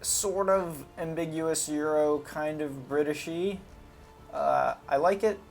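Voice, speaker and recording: Casual man with a creaky voice. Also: on the high side, slow, soft background music. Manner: informal, hesitant, thinking aloud. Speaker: gaming video host. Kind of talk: casual unscripted game commentary.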